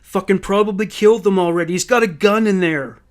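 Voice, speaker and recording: A man voicing an enraged, foul-mouthed male relative, shouting insistently in a narrated true story. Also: high voice, brisk, voice pushed up, close to an everyday voice.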